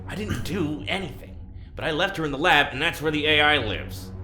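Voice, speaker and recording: A defiant fugitive in a sci-fi audio drama. The voice is medium-pitched and bright, with wry, halting delivery in an echoey space over faint music. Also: lively intonation. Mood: defiant, goading. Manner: dry, sardonic, halting. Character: sardonic, defiant fugitive on a spaceship, not quite a man.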